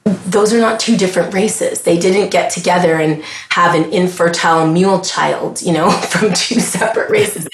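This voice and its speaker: A woman with a Canadian accent talks in a lowish voice with earnest conviction. She often stops and restarts her sentences as she works out what she means. The room around her sounds echoey. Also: moderate pace. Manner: earnest, impassioned, restarts often mid-thought. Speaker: podcast guest. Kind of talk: casual conversation.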